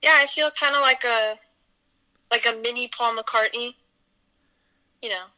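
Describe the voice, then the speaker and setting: Slow, bright young woman chatting. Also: a little high, rough recording. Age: around twenty. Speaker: interview guest, musician. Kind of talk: casual chat.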